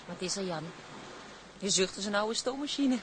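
An actress as a soothing young sweetheart. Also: female voice, medium pitch, animated, normal speed, background noise, lightly acted. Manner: soothing, encouraging. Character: gentle young woman, caring sweetheart. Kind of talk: radio drama acting.

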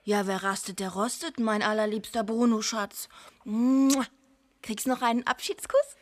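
Woman playing a high-pitched, flirtatious little sweetheart. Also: fairly even intonation, measured pace, lightly acted. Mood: coquettish. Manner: coquettish, cooing. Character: flirtatious, domineering, fickle sweetheart, tiny storybook character. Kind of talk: exaggerated radio drama acting.

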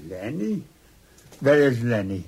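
A man's voice: mid-range voice, sing-song, takes it slowly, a little mellow, breathy edge, heavily acted. An actor playing a plain-spoken older father in a radio drama, speaking hesitantly. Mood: puzzled, hesitant. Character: gruff, plain-spoken old father of grown daughter. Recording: distant mic, faint noise.

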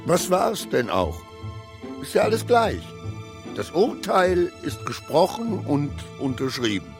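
An actor playing an anxious, muddled clerk in a radio play, muttering hesitantly to himself in inner monologue. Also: male voice, a little high, big pitch swings, takes it slowly, gravelly, full character voice. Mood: resigned, agitated. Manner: hesitant, muttering to himself, swinging from murmur to outburst. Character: anxious, muddled male clerk, brooding and self-persuading. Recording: studio radio play with music.

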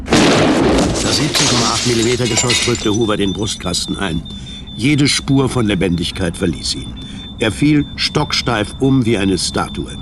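A man narrating a science fiction radio drama in a scripted, measured storytelling style. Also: lowish voice, expressive, noisy background. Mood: measured, factual.

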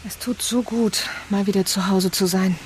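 A woman's voice: mid-range voice, steady tone, normal speed, slight background noise, natural voice, rough recording. An actress playing a girlfriend hesitantly breaking away from her partner in a radio drama. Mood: wistful.

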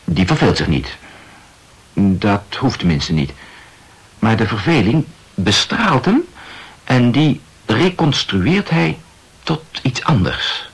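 An actor playing a pensive male novelist in a Dutch radio play, explaining his ideas in an insistent, musing way with a husky voice. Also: medium pitch, sing-song, slow, long pauses, full character voice. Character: Neumann, pensive male novelist theorizing earnestly about his work.

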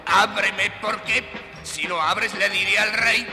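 High, echoing singing toad. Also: male voice, fairly even intonation, slow. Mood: insistent, accusing. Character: singing toad, indignant, insistent suitor. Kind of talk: theatrical singing in audio fairy tale. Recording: echoey hall reverb, loud music.

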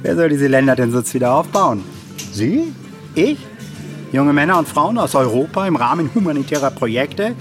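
Smug, condescending populist politician. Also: male voice, a little high, sing-song, over music. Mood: sneering. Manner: measured, rhetorical, provocative.

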